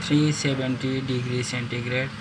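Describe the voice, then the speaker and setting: A young man, a native Nepali speaker, talking through a phone repair in halting English. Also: mid-range voice, flat delivery. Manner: halting non-native English, step-by-step instructions. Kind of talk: repair tutorial. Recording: loud background noise.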